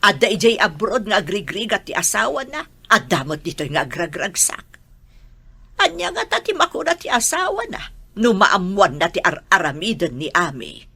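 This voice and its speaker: A woman playing an older, protective, indignant mother in a radio drama, with a raspy voice and an Ilocano accent, advising emphatically. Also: mid-range voice, sing-song, moderate pace, sharp tone, heavily acted.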